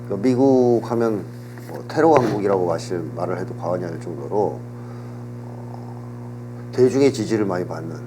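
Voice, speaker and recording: Man, low voice, steady explainer, recovering from a cold, humming noisy recording. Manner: measured, explanatory lecturing. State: getting over a cold. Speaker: political commentator. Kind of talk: political talk show analysis. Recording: audible hum and background noise.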